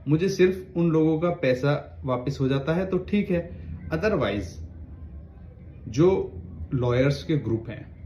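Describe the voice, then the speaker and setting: A man's voice: fairly high pitch, darkish tone. A man, a lawyer, making an earnest, pleading direct-to-camera appeal that carries a warning. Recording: roomy, dull lo-fi sound.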